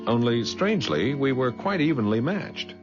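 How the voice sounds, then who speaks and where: An actor playing a polite, cool-headed detective who poses as a writer, with measured, wry delivery in a 1970s radio drama. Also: male voice, mid-range voice, big pitch swings, music in the background, natural voice. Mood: measured, narrating. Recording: dull 1970s radio broadcast.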